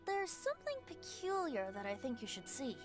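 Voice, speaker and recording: Breezy boyish bard, flowery speech, animated high voice, anime game acting, music behind. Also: female voice, moderate pace. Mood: earnest, light. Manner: flowery, theatrical phrasing. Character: breezy youthful male bard, playful, evasive, tipsy-loving.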